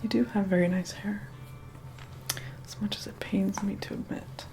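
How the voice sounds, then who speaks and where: A woman playing an aloof, reluctantly caring vampire woman in an ASMR roleplay, soft-spoken and breathy, often whispering, slow and condescending. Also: medium pitch, fairly even intonation, close to an everyday voice. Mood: grudgingly fond. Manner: slow, condescending, grudgingly kind. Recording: loud music behind the voice. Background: music.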